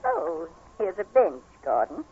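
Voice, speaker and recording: Husky, soothing radio aunt. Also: female voice, lowish voice, huge pitch swings, takes it slowly, full character voice. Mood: kindly. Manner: soothing, solicitous, gently dismissive. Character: caring, soothing aunt, gently firm. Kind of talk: 1940s radio drama acting. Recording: muffled 1940s radio recording.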